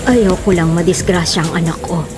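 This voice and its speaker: A woman's voice: lowish voice, normal speed, natural voice. Actress as a defensive, protective mother, music behind. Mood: uneasy. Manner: pleading, defensive. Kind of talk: Filipino radio drama acting.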